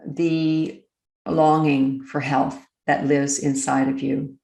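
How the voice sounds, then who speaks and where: Low, creaky-voiced woman guiding meditation. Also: flat delivery, roomy sound. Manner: gentle, deliberate, with long pauses. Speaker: guided meditation coach. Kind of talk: slow, unscripted guided meditation.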